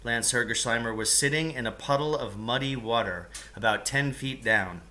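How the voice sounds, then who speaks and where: A man narrates a storybook with a strikingly bright, sharp-edged voice at a middle pitch. His reading is expressive and clearly paced out for listeners following a novel. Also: normal speed. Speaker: teacher reading a novel aloud.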